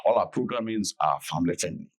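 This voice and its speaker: A man explaining things phrase by phrase in a measured, conversational interview manner. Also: sits low, expressive. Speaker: podcast guest, festival director.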